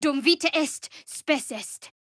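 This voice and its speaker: An actress playing a guarded, devout young woman cleric in a video game, with a wry, measured delivery. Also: high voice, a bit bright, no room sound, studio quality. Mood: fervent incantation. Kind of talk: video game character voice acting.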